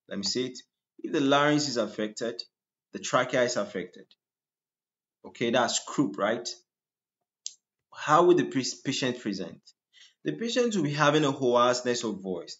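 A deep-voiced man talks through medical slides in a lecture. His voice sits at an ordinary middle pitch, and he keeps tossing out "what?" to his students before giving the answer. The lo-fi screen recording sounds a little dull. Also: a bit bright. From Nigeria. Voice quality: deep, just-woken voice. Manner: asks rhetorical "what?" questions and answers them.

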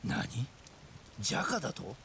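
An actor playing a serious, practical ally in a video game, speaking in a gruff, decisive way. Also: male voice, a little slow, a bit bright, close to an everyday voice. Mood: concerned, resolute. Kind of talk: dramatic video game acting. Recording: faint rain noise behind.

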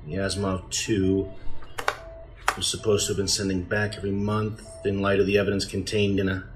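A man playing a grave President in a science fiction audio drama, measured, pondering and authoritative. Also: low voice, a little hiss or hum.

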